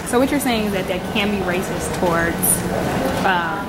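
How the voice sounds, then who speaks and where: A woman's voice: mid-range voice, normal speed. A woman moderating a student discussion, prompting and summarizing the panel's answers. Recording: loud background noise.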